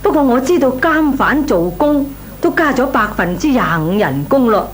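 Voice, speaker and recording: An actress playing a nosy, goading neighbourhood housewife in a Cantonese comic radio drama, with colloquial, exclamatory talk. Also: female voice, high voice, big pitch swings, moderate pace. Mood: insistent. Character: nosy neighbourhood married woman, coaxing and teasing. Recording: noisy, humming radio recording.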